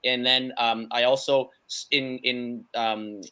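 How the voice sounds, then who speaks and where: A man's voice: mid-range voice, level tone, slow, bright, forceful tone, clean tone. A man whose first language is Cantonese, talking casually as a guest on a video call, enthusiastic and rambling with lots of "like" and "um". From Canada. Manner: enthusiastic, rambling with many fillers. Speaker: guest speaker. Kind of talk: casual chat. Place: home room.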